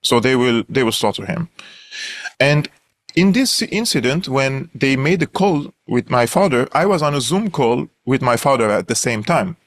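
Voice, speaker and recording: A young man from Syria with a Syrian Armenian accent, a guest analyst telling his story earnestly in an interview, with many fillers and restarts. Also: medium pitch.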